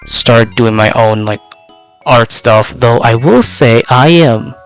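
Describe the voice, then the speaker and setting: A man's voice: medium pitch, takes it slowly, clean tone, soft background music. A young man, a native Vietnamese speaker, gives a casual in-character self-introduction as a VTuber. He rambles with many filler words, and his voice is slightly worn out.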